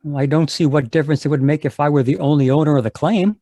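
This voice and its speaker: A man's voice: on the high side, quick pace, mellow, dry recording, lightly acted. A man playing an earnest, pleading young gold prospector in love, in old-time radio drama style. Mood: earnest, defensive.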